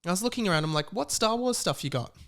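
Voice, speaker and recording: An Australian man hosting a podcast, telling a personal story in a casual, chatty and animated way. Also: sits high, fast talker. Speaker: podcast host.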